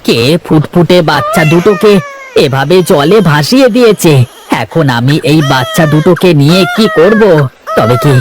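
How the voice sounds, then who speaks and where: A man playing a poor, lonely, kindhearted boatman and father in an animated moral story, speaking in an emotional, exclamatory way. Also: on the high side, background noise, close to an everyday voice. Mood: tearful, wondering. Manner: emotional, exclamatory, talking to himself. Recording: music behind the voice.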